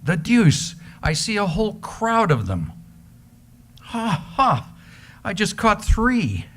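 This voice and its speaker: A man's voice: high-pitched, huge pitch swings, takes it slowly, fairly bright tone. A man giving a theatrical reading of an affectionate letter. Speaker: reader in an amateur readers theater. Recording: background noise, live room ambience.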